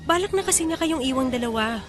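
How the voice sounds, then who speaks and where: A woman's voice: sits high, expressive, fast talker, over music. A woman playing a meek, earnest young provincial mistress and single mother in a Filipino radio drama. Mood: meek, defensive.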